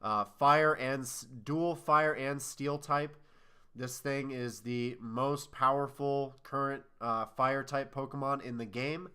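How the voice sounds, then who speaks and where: A man's voice: mid-range voice, steady tone, slow, pushed and bright, smooth. A man co-hosting a casual podcast chat, sounding nasal and congested from a cold, rambling and correcting himself as he talks. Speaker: podcast co-host.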